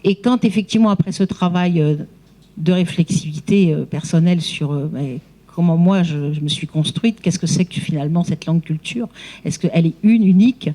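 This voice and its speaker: Mellow-voiced woman, teacher trainer explaining. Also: fairly low pitch, moderate pace, poor audio. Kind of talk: spontaneous remarks in a seminar debate.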